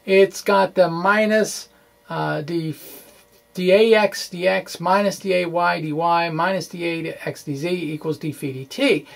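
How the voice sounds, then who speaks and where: A man presenting physics in an informal lecture style, with halting delivery, false starts and folksy, playful phrasing. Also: high voice, fairly even intonation, creaky. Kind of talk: informal lecture walkthrough of equations.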